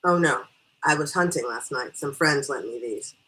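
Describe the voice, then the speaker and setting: A woman's voice: very deep voice, moderate pace, pushed and bright, poor audio. A woman voicing a polite, reticent male traveler with a plain, brief, courteous way of talking in a storybook reading.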